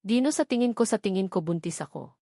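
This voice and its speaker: A woman with a Filipino accent voicing a gentle, hurt but forgiving young mother in a scripted audio drama, speaking hesitantly. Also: mid-range voice, lively intonation, fast talker, creaky, no room sound, studio quality. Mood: weary, uneasy.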